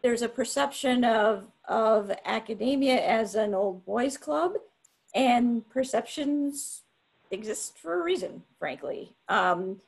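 Woman, panelist, gravelly, husky, slow and frank, video call. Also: a little high, lively intonation. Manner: frank, deliberate, with many restarts. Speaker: panelist, academic scientist. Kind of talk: panel discussion answer. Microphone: webinar video call.

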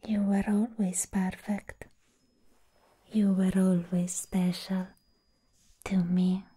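Young woman whispering asmr roleplay as a possessive goth doll, super slow, soft and dark, really breathy. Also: mid-range voice, level tone. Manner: slow, hushed, deliberate, with long pauses. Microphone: close microphone.

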